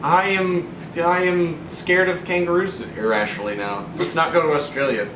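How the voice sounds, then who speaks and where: A woman's voice: deep voice, normal speed. A young adult woman, co-hosting with sarcastic, sweary, quippy commentary in a casual comedic style. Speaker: co-host. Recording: echoey room, distant mic, noisy.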